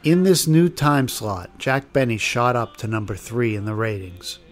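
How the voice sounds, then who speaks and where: A man's voice: medium pitch, normal speed. A man reading scripted narration as the narrator of a history documentary.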